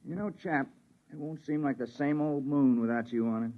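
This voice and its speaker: An actor playing a brash, teasing geologist on a moon base in a 1950s radio drama. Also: male voice, medium pitch, normal speed, a little hiss or hum, natural voice. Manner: joking, teasing. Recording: tinny vintage radio broadcast.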